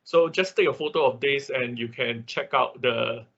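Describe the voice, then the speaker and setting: A man giving an informal online class as an instructor, speaking haltingly with a husky voice and frequent repeats. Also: mid-range voice, fairly bright tone. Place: online video call. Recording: dull lo-fi online meeting audio.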